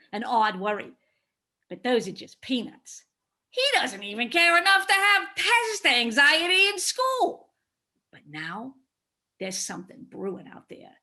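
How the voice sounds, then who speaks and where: A woman's voice: on the high side, pushed and bright, exaggerated voice. A woman playing a sly, hungry monster that feeds on fear, conniving and theatrical, in a dramatized play reading.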